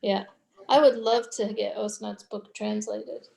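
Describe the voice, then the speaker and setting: A woman's voice: mid-range voice, steady tone, measured pace, creaky, a little mellow, reverberant. A woman in a book club telling a family story in a casual, rambling way over a video call. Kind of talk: casual online group discussion.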